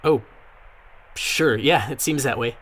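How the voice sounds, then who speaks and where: An actor playing a mild, awkward young archivist in a naturalistic audio drama, speaking in a halting, reserved, deferential way. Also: male voice, medium pitch. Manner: hesitant, soft-spoken, halting.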